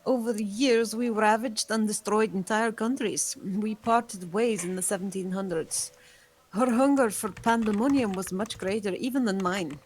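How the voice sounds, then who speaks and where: A woman playing an ancient, seductive, world-weary female vampire in a table read, with formal, deliberate phrasing. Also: mid-range voice, breathy edge, close to an everyday voice, low-quality recording. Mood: wistful, brooding. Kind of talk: scripted drama table read.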